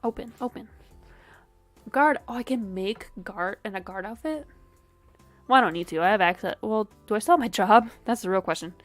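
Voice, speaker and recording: A woman's voice: mid-range voice, normal speed, soft background music. A woman streamer with a sniffly, dry throat, casually thinking aloud and swearing while she plays a game. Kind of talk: casual game livestream commentary.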